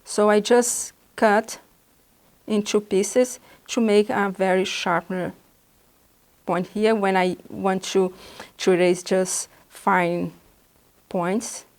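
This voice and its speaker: A woman from Brazil whose first language is Portuguese, speaking with a Brazilian Portuguese accent as she patiently demonstrates drawing supplies in a beginner art lesson, pausing often as she explains. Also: medium pitch, fairly even intonation, a little mellow. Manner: patient, halting explanations with pauses. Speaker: art instructor.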